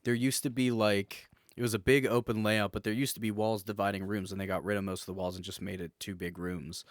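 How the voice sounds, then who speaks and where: A man's voice: on the low side, flat delivery, fairly quick, no room sound, clean studio sound. A man co-hosting a casual podcast, reminiscing in a rambling, anecdotal way. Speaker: podcast co-host.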